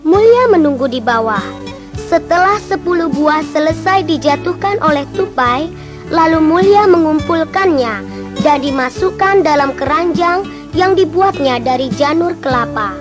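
A woman narrating a fairy tale in a gentle, wondering way. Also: high voice, lively intonation, measured pace. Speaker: narrator and young girl character. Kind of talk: storytelling drama. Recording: music playing behind the voice.

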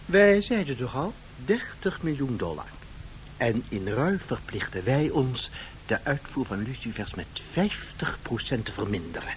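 An actor playing a chummy, haggling Soviet commissar with a theatrical, excitable manner, in radio drama style. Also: male voice, mid-range voice, unhurried. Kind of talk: 1970s Dutch radio drama acting. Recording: noisy 1970s radio drama recording.

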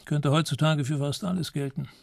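A man's voice: fairly high pitch, fairly even intonation, fairly quick, gravelly, no room sound. An actor playing a small, dapper older Spanish man who speaks formally and courteously while praising the old dictatorship, in a radio play. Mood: measured. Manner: formal, courteous, quietly ideological.

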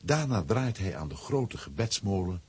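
A man with a rough, husky voice narrating a scripted children's story. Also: sits low, big pitch swings, moderate pace, a little mellow, a little hiss or hum.

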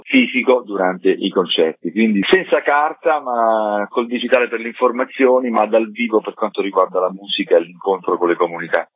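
A man with a fairly low voice talks off the cuff as a festival director being interviewed. The sound is dry and narrow, like a phone call to a radio show. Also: breathy edge, no room sound. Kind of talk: spontaneous radio interview answers. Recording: tinny narrow phone-like line.

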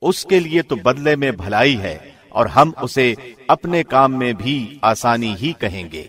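Male presenter type, mid-range voice, measured and formal, scripted reading of sacred text translation. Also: mid-range voice, animated, fairly bright tone. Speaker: translation reader, radio presenter. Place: studio.

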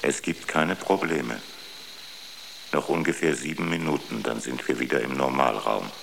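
A man playing a calm ship's computer in a radio drama, speaking in a measured, emotionless and formal way. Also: extremely low pitch, vocal fry, exaggerated voice. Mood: calm, detached. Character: ship's computer, calm male machine intelligence. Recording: echoey long reverb, noisy background.

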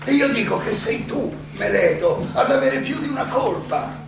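In a very echoey, distant space an old philosopher on trial, his voice high and gravelly. He is defiant and sardonic, arguing in rhetorical questions with theatrical pauses, as in a stage play. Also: big pitch swings, music in the background, full character voice. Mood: ironic, challenging. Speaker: stage actor. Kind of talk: theatrical courtroom monologue.

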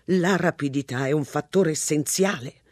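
A reader voicing a flustered, anxious man who pleads politely, in a husky voice. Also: mid-range voice, moderate pace, no room sound, clean studio sound, natural voice. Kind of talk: audiobook narration.

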